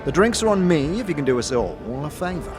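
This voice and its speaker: A man voicing an excitable innkeeper and showy salesman with rapid-fire, rhyming patter in a fantasy audio game. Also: fairly high pitch, voice pushed up. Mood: brisk, bargaining. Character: manic, fast-talking male innkeeper and showy salesman. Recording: music under the voice.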